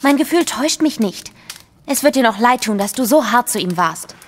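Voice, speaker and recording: Young woman, sorrowful but determined, highish voice, earnest audio drama pleading in a reverberant space. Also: lively intonation, normal speed. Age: young adult. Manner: earnest, insistent.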